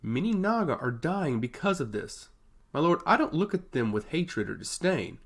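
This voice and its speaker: A man's voice: a little high, big pitch swings, moderate pace, pitched above the performer's own voice. A man voicing a coldly pragmatic, dutiful commander in a fantasy audiobook, solemn, formal and deferential to his lord. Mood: measured frustration.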